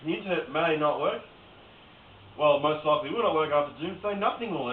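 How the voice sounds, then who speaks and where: A man's voice: fairly high pitch, close to an everyday voice. A man playing a blunt, offhand doomsday expert doctor in an amateur mock lecture. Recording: roomy, distant, tinny, hissy home recording.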